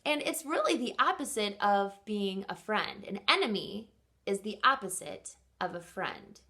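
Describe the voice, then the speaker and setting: Animated woman reading aloud to children. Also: medium pitch. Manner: animated, pauses to question young listeners. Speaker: kindergarten teacher and read-aloud host. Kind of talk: expressive storybook read-aloud for young children.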